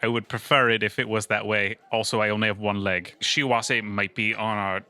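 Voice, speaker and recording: A man with a fairly low voice and a bright, forceful edge. He improvises casually as a player in a roleplaying game. His talk is halting and peppered with swearing. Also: flat delivery, clear, resonant tone.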